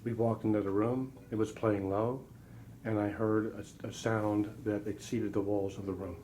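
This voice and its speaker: A man's voice: fairly low pitch, fairly even intonation, moderate pace, mellow, a little hiss or hum, poor audio. A man chatting casually and agreeably as an interviewer reporting from a show. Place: room at an audio show.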